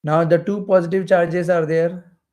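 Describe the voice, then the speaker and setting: A man's voice: high-pitched, little pitch movement, moderate pace, darkish tone. A man teaching physics in an explanatory lecture, repeating phrases for emphasis as he goes. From India. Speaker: physics teacher for exam preparation. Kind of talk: explanatory lecture while drawing diagrams.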